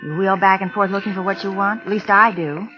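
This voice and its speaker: A woman's voice: medium pitch, a little fast, close to an everyday voice. An actress playing a flustered, chatty secretary who tells her story in old radio suspense style. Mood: rueful storytelling. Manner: conversational, expressive storytelling. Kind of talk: 1940s-50s American radio suspense acting. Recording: muffled vintage radio recording, music behind.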